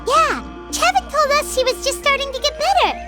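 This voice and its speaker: A tiny floating fairy sidekick from a fantasy game, squeaky and very high with a bouncy, swooping voice. She chirps out exclamations and talks about herself by name. Game music plays behind her. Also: lively intonation, fairly quick. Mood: reassuring. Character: tiny floating female fairy-like companion, excitable. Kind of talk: game cutscene dialogue.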